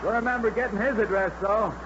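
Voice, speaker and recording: A male actor plays a chatty, obliging American plant foreman with a high voice. He rambles in a folksy working man's manner, in old radio drama style. The recording is muffled, with clear factory noise behind him. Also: fast, lightly acted. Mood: uneasy, helpful. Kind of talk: 1950s radio drama acting.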